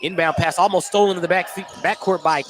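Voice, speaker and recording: Male, higher-pitched voice, radio basketball play-by-play, clipped descriptive calls, clear gym noise and bouncing ball. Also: steady tone, a little fast. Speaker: play-by-play announcer. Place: indoor basketball gym during a game.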